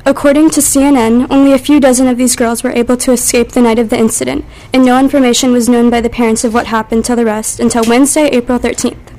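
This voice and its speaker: A woman's voice: on the high side, little pitch movement, fast talker, warm tone, poor audio. A woman reading a scripted radio news update carefully, with small stumbles. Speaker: newsreader.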